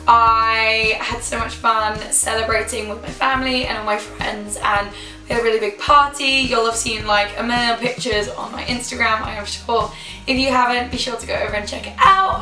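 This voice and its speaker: A young woman with a hoarse voice, chatting enthusiastically to camera as a beauty vlogger. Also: fairly high pitch, sharp tone. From United Kingdom. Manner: chatty, enthusiastic, repeats words for emphasis. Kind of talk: casual chat to camera. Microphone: camera mic. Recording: echoey room, camera mic from a distance, faint music.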